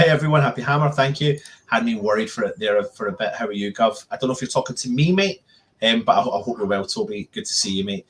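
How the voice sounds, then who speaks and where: A man's voice: medium pitch, a bit bright. Man chatting football live. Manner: conversational, repeats words and phrases as he talks. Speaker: football fan channel host. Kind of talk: live-stream football chat.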